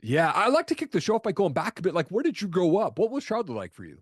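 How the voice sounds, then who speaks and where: A man's voice: high-pitched, wildly swooping pitch, fast talker, breathy edge, no room sound. Upbeat, casual man with a dry sound. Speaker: podcast host. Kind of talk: casual interview chat.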